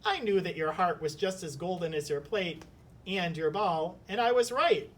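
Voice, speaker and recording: A man's voice: normal speed, a bit bright. Man reading as a polite young storybook prince, slightly distant. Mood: grateful, earnest. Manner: polite, earnest. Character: grateful young fairy-tale prince freed from a spell. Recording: slightly distant mic, faint rumbling noise.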